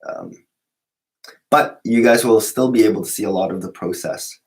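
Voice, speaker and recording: A man hosting a relaxed live stream, answering viewer questions. He's a little sick, which drops his voice lower than usual, and he rambles with fillers and restarts. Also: normal speed.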